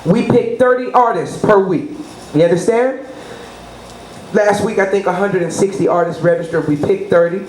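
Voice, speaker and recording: A woman's voice: mid-range voice, moderate pace, darkish tone. A middle-aged woman from New Orleans, a business consultant talking up her services as an interview guest, rambling from point to point with name-drops and asides about God. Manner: rambling, salesy, name-dropping, religious asides. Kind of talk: promotional interview talk. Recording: echoey room, faint noise.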